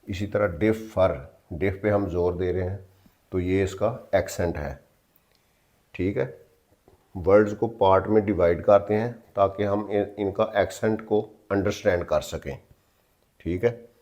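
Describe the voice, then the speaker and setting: A man's voice: sits low, steady tone, measured pace, a little mellow, muffled recording. A man teaching English grammar in a patient, repetitive way, spelling words out letter by letter and checking in often with listeners. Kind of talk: explanatory lesson in mixed Hindi-Urdu and English.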